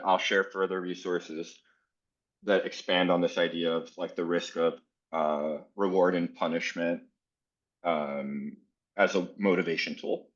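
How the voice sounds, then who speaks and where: A man's voice: sits low, highs cut off. A man from South Florida leading an educator workshop in a casual, earnest way, with many "um" fillers. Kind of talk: conference workshop presentation.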